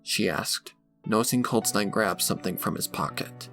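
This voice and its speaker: A man's voice: fairly low pitch, moderate pace, fairly bright tone. A man reading scripted fan fiction as a dramatic narrator. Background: background music.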